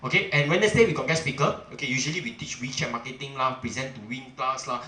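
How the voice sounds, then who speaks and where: A middle-aged man with a Singaporean English accent speaks to a seminar audience, rushing his words and pushing each point with a bright, forceful voice. He peppers his talk with "okay" and "ah" and keeps checking with the room. His voice rings out in an echoey room. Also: medium pitch, a little hiss or hum. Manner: emphatic, asks the audience questions, frequent "okay" and "ah" fillers. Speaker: chamber president giving a seminar.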